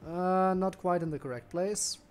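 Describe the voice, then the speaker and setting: A man's voice: high-pitched, normal speed, darkish tone, soft background music. A man giving casual gameplay commentary as a streamer, dryly talking to himself. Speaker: game streamer.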